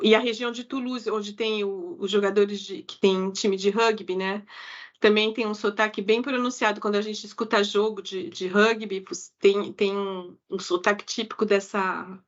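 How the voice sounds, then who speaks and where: A woman's voice: a little high, pushed and bright. A Brazilian woman in her forties with a Brazilian Portuguese accent, chatting as an interview guest and often restarting her sentences mid-thought. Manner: hesitant, frequent restarts and self-corrections. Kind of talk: casual interview chat. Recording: dull lo-fi remote audio.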